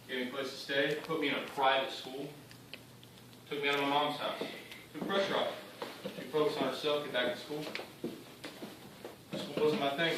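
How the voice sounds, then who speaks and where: A man's voice: medium pitch, natural voice. Man acting a bitter drug dealer, very echoey room. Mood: matter-of-fact. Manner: defiant, clipped phrases, pointed pauses. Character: bitter, cynical young male drug dealer justifying himself. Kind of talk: theatrical monologue addressed to an audience. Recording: very echoey room, distant mic, faint noise.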